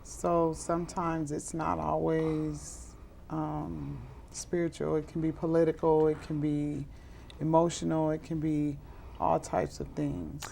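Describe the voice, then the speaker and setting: A woman's voice: deep voice, steady tone, takes it slowly, vocal fry, slight background noise. A woman hosting an interview show, thanking her guest and affirming her points. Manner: warm, affirming, echoes the guest. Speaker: talk show host. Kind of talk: interview chat.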